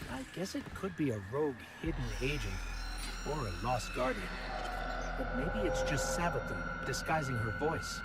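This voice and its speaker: A man's voice: medium pitch, close to an everyday voice. A man voicing an earnest, inquisitive robot companion in a video game, articulate and loyal. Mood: thoughtful. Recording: loud music behind the voice.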